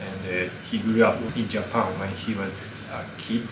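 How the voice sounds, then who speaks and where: A man hosting an interview in English, speaking haltingly with many pauses and "uh"s. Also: low-pitched, steady tone. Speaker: host. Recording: echoey room, distant mic, background noise.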